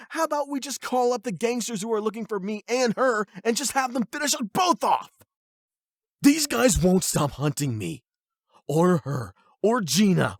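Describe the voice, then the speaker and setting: Man voicing young male delinquent, hurt, defiant, sweary, husky edge, swinging pitch, close dry sound. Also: dry recording, heavily acted. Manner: sweary, sarcastic, confrontational. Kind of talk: emotional anime-style audio roleplay acting.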